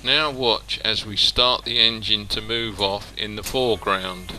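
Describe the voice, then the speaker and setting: Deep-voiced man demonstrating, bright tone. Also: steady tone, unhurried, a little hiss or hum, rough recording. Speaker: hobbyist presenter demonstrating a model train radio control. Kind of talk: explanatory product demonstration narration.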